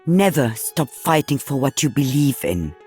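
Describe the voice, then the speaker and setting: Casting: a seasoned elderly female soldier for a game; dry wit, world-weary, highly varied intonation, light music underneath. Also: very animated, normal speed, darkish tone. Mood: wry, firm. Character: elderly veteran female sniper, wry, weary, maternal. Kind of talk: video game character voice lines.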